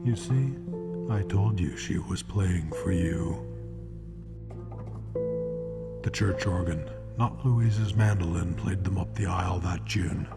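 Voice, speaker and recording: Casting: male actor as wry, ominous host of a radio murder anthology, deep and creaky, theatrical pacing. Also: loud music behind the voice. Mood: wry, knowing. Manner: slow dramatic pauses, ominous, wry. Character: ominous, sardonic male narrator of true-crime murder tales. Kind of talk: old-time radio mystery narration.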